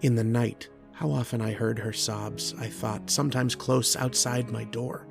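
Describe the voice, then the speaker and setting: Man acting a gravelly, brooding, erudite lodger. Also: medium pitch, steady tone, music in the background. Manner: literate, deliberate, introspective, with hesitant starts. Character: lonely, erudite, brooding male mathematician lodger, earnest and uneasy. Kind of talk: old-time radio drama acting with first-person narration.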